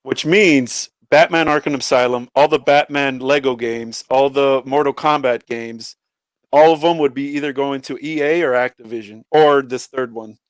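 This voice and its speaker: A man's voice: medium pitch, normal speed, no echo, rough recording. A man co-hosting a podcast in casual banter, talking in a slangy way and swearing freely. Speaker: podcast co-host.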